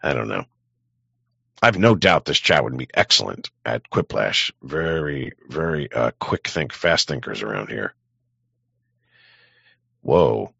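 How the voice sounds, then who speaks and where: A man live-streaming in casual chat, rambling bluntly and swearing, with a gravelly, husky voice. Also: a bass voice, very animated, unhurried, pauses often, a bit bright, dry recording. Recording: dull, close, dry microphone.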